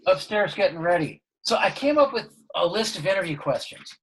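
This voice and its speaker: Man as eager dad, easily hurt, lively swings in pitch, comedic table read. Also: brisk, poor audio. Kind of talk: comedic table read over video call.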